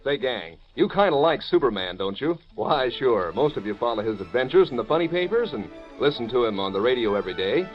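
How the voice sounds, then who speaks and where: A male announcer from 1940s radio pitches to children with folksy eagerness. His delivery swings widely in pitch. The old recording is dull, with faint music underneath. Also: sing-song, brisk, hardly any pauses. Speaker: radio announcer reading sponsor ad.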